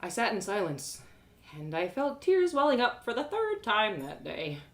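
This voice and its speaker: A woman reading a fantasy story aloud in an expressive, first-person narrating style. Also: mid-range voice, moderate pace.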